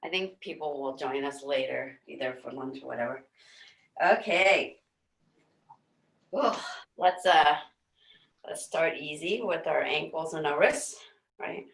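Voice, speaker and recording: Woman fitness instructor, low and gravelly, sleepy morning voice, calm cues, echoey room. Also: measured pace, pauses often, far from the mic. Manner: calm instructions with light laughs. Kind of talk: leading a warm-up class.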